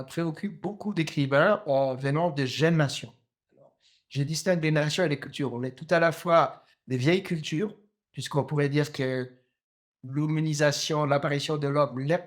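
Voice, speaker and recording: A man in his fifties from Djibouti, a novelist answering interview questions slowly, with many pauses, fillers and restarts. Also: a little high. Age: 50s. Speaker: novelist guest.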